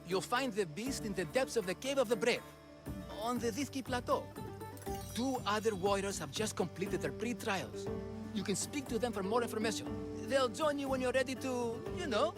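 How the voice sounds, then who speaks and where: An actor playing a nervous, theatrical swindler in a video game, stammering through hesitant sales patter. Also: male voice, very high voice, moderate pace. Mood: showy, nervous. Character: nervous, theatrical swindling huckster, a frightened father. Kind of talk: video game character acting. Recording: music behind the voice.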